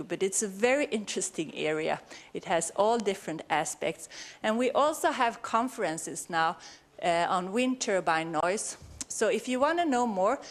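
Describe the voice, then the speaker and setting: A middle-aged woman from Sweden with a Swedish accent, giving an informal conference talk in English as a researcher, often correcting herself as she goes. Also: medium pitch. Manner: hesitant, halting second-language phrasing with self-corrections. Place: conference venue, speaking live to an audience.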